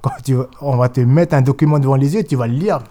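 Emphatic New man, mellow voice. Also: mid-range voice, quick pace. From New Caledonia. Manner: emphatic, repeats himself, mimics opponents. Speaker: guest, jurist. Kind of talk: assertive political interview talk.

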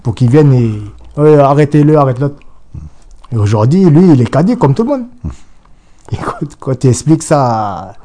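A man's voice: medium pitch, big pitch swings, moderate pace, mellow. A man from New Caledonia, giving an insistent political interview full of restarts and repetition. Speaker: guest.

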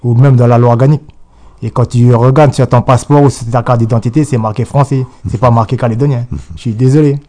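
Insistent man with a mellow voice. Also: medium pitch, steady tone, fast. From New Caledonia. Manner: insistent, repetitive, restarts often. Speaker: guest. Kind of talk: political interview.

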